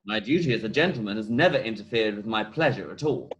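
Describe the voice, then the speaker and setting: Casting: a man as vain, witty young gentleman in a stage comedy read-through, highly varied intonation. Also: lively intonation, fast, muffled recording, a little hiss or hum. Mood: flippant, teasing. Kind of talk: amateur play read-through. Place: at home on a video call. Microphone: video call.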